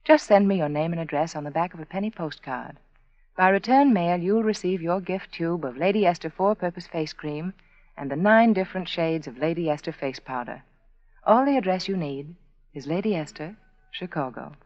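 A woman with a lowish voice delivers an earnest, intimate sales pitch for a 1940s radio sponsor. The sound is dry and close, dulled like an old broadcast. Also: normal speed, darkish tone, dry recording, highs cut off. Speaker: sponsor spokeswoman.

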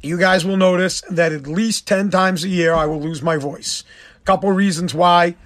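Hoarse man rambling on his podcast. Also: high-pitched, fairly even intonation, normal speed, fairly bright tone. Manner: rambling, blunt, self-deprecating. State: hoarse, lost voice. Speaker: podcast host. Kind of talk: casual solo podcast talk.

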